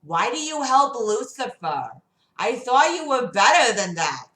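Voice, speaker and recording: A woman's voice: a little high, very animated, a little slow, fairly bright tone, voice pushed up. A woman voicing a stern, righteous woman who reproaches a traitor in earnest tones. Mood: reproachful. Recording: roomy echo.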